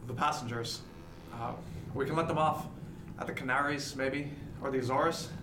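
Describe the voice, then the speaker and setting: A man's voice: medium pitch, fairly even intonation, normal speed, noisy background, natural voice. Man playing a brooding ship's first mate, echoey. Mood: resolute. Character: brooding, proud male first mate of a merchant ship. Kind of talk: serial radio drama acting, period seafaring. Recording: echoey room, guitar music behind.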